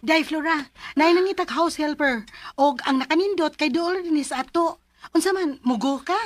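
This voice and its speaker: A woman's voice: high-pitched, normal speed, soft background music, lightly acted. A woman with a Cebuano Filipino accent playing a supportive, sympathetic neighbour in a radio drama. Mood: upbeat.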